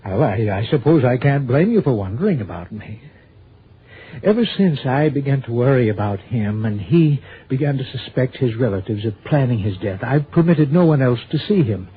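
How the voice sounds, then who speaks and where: An actor playing a flustered, defensive, proper doctor in a 1960s radio drama, speaking formally and hesitantly in a husky voice. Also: male voice, mid-range voice, lively intonation, moderate pace. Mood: defensive, worried. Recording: tinny vintage radio recording.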